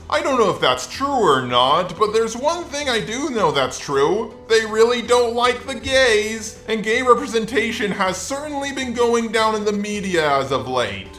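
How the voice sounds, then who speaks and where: A man's voice: high voice, bright, forceful tone, echoey room. A man's synthetic robot voice delivering scripted, opinionated video commentary in a sarcastic, sweary manner. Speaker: video essay host.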